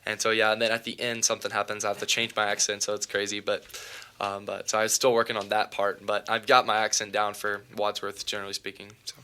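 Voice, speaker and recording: A teenage boy being interviewed about his school play role, relaxed and full of fillers. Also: fairly low pitch, fast, smooth. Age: teens. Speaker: student actor guest. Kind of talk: casual interview.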